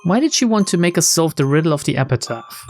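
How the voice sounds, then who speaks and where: A man's voice: on the high side, animated, fast talker, soft background music. A man reading visual novel text aloud as a let's-play narrator, dropping wry, sarcastic and sweary commentary.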